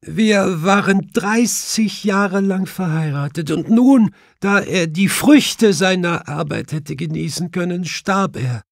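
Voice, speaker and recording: A man's voice: sits high, vocal fry, no echo, clean studio sound, pitched above the performer's own voice, exaggerated voice. A man voicing a grieving middle-aged widow with a brittle, tearful voice in an audiobook. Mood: sobbing. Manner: halting, sobbing.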